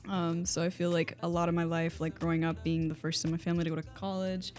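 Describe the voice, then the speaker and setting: A woman's voice: on the low side, flat delivery, fast, a little mellow, faint music behind. A woman studio guest chatting casually, with lots of "like" and "um".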